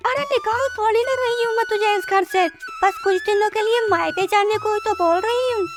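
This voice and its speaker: A woman acting a high-pitched, bossy mother-in-law. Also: normal speed. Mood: stern. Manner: nagging, commanding. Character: bossy, exasperated middle-aged mother-in-law. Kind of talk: animated Hindi moral story dialogue. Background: music.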